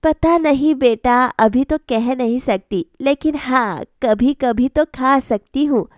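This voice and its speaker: A woman plays an older cartoon mother-in-law, plain-spoken yet approving. The voice is fairly high and takes its time, recorded dry. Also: slow pace, dry recording. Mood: content, noncommittal.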